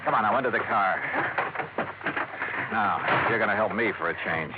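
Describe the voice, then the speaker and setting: An American actor playing a wry, hard-boiled private investigator in 1950s radio drama, with a sardonic, clipped, tough-talking delivery. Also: male voice, on the low side, moderate pace, very noisy, close to an everyday voice. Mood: brisk, urgent. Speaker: lead actor in a detective radio serial. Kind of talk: 1950s radio acting, hard-boiled first-person narration. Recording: tinny 1950s radio recording, music underneath.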